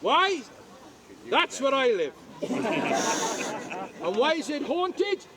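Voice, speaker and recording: A man's voice: very high-pitched, big pitch swings, very slow, fairly bright tone. An older Welsh man giving a jokey, chatty guided tour outdoors at a historic castle, often asking the crowd questions.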